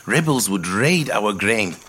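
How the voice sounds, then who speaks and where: An actor playing a quiet, thoughtful middle-aged man who tells his life story in a measured, reflective monologue. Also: lowish voice, animated, slightly rough, full character voice. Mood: grave, measured. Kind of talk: scripted first-person monologue, audio drama storytelling.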